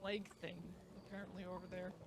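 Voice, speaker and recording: A man's voice: high voice, flat delivery, quick pace, gravelly, loud music behind the voice. A man roleplaying a saloon keeper in a western game, talking casually and rambling a bit, with self-deprecating remarks. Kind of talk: in-character western roleplay chat.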